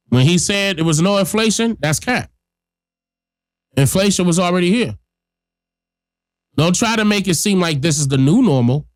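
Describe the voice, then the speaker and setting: A man's voice: high voice, no room sound, studio quality. Stuffy-nosed man giving blunt commentary. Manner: blunt and emphatic, talks straight to viewers. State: stuffy nose from a cold. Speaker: podcast host. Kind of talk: casual political commentary.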